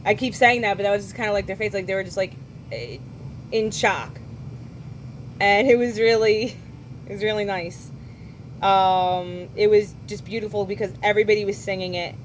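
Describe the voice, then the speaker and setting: A young woman with a hoarse voice from singing at a concert, hosting a podcast and talking casually with quick asides and self-corrections. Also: medium pitch, steady tone, a little hiss or hum. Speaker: podcast host. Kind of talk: casual chat recounting a concert.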